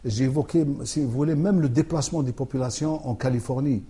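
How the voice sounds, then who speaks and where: A male author answering questions in a thoughtful, halting way. His voice is dark and mellow, with a husky edge. He restarts sentences often and pads them with fillers. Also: medium pitch. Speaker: author, interview guest. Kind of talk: hesitant conversational interview.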